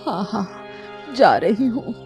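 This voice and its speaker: A woman's voice: mid-range voice, sing-song, slow pace, darkish tone, faint music behind. A woman playing a sly, thieving old woman in a cartoon story, with a wheedling, complaining manner. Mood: pleased, sly. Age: older.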